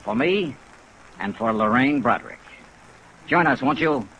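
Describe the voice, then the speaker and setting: A man's voice: medium pitch, unhurried, rough recording. A man acting a tough, wry, clipped insurance investigator in a 1950s radio detective drama. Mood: wry, dramatic narration. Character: brisk, tough, steady male insurance investigator. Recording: vintage radio recording, steady rain noise.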